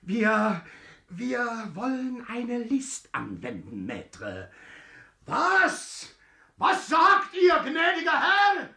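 An actor playing a fussy, anxious, devoted long-serving manservant in a German radio play, pleading and grumbling in a high, creaky voice. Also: male voice, wildly swooping pitch, extremely slow, pushed and bright, reverberant, heavily acted. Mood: frightened, frantic. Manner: pleading, nervous, deferential yet grumbling. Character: fussy, anxious, devoted old male servant.

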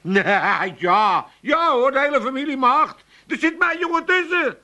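A man's voice: very high-pitched, a little slow, bright, forceful tone, full character voice. An older actor playing a blustering, teasing working-class father with an Amsterdam accent in a comedy radio play, stammering and repeating himself. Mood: baffled, indignant. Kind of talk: 1980s Dutch comedy radio play acting.

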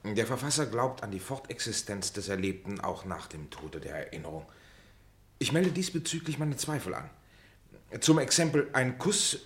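Echoey room, middle-aged male pharmacist, lowish voice, pedantic, dry, mock-formal, mischievous, drama. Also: fairly bright tone. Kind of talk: German literary drama acting.

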